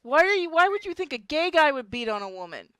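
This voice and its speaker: A woman's voice: sits high, sing-song, fast, pushed and bright. A woman streamer chatting casually with friends, teasing and blunt, with plenty of swearing. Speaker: streamer on a group live stream. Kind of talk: casual chat.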